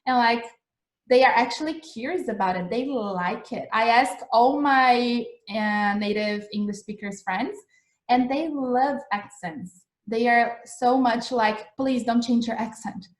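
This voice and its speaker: A woman's voice: fairly high pitch, a little slow, roomy sound. A young woman with a Brazilian accent and a mixed accent from years abroad, giving a chatty, encouraging English lesson, checking in often with her listeners. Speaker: English teacher. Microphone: video call recording.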